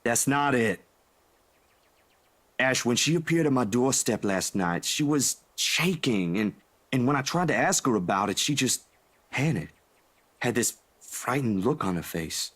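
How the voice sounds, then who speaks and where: A man's voice: mid-range voice, animated, a little slow, fairly bright tone. A man acting a laid-back, caring young man in a visual novel, speaking casually and a little hesitantly. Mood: worried, hesitant.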